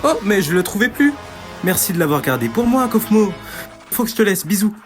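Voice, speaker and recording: A sly, smug cartoon troublemaker, voiced by an actor, teases with mock innocence. The pitch swoops up and down over faint music, and the delivery stays nonchalant. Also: lively intonation, brisk, close to an everyday voice. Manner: mocking, falsely innocent, nonchalant. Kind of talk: cartoon dubbing. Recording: music behind the voice.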